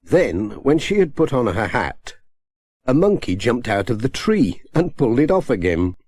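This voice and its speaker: A man with a British accent narrating a children's story in a measured, expressive storytelling manner. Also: medium pitch, husky, no room sound. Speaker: audiobook narrator.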